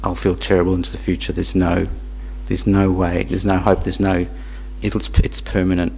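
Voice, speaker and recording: A man born in Tanzania, teaching Buddhism to a small group in a halting, repetitive way, with questions for the audience. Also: sits low, completely flat delivery, gravelly, a little mellow, a little hiss or hum. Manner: halting, repeats words, asks the audience questions. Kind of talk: dharma talk to a small group.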